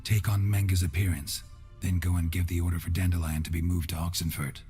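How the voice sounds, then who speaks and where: A man performs a stoic monster hunter for a fantasy game. His voice sits very low, terse and deadpan with dry wit, over music. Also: quick pace, close to an everyday voice. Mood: matter-of-fact. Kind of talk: video game voice acting. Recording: music behind the voice.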